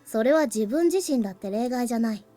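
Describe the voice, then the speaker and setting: Woman voicing a cool, high-voiced young boy. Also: expressive, racing, warm tone, soft background music, close to an everyday voice. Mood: calm, matter-of-fact. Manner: cool, matter-of-fact, slightly cheeky. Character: calm, aloof young boy, crystallized past memory of the hero. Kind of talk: Japanese visual novel voice acting.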